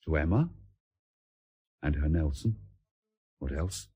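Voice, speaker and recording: A solemn naval commander deeply in love, in a radio drama. The voice is low and heavily rasped, with measured, courtly phrasing and a tender devotion. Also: male voice, lively intonation, mellow, exaggerated voice. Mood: wry.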